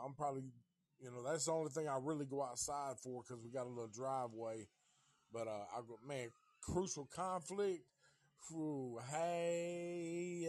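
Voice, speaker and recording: A man's voice: fairly high pitch, darkish tone, no room sound. A fifty-year-old man from Tennessee hosting a casual livestream chat, rambling and swearing in a laid-back way. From Nashville, Tennessee. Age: 50s. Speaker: livestream host.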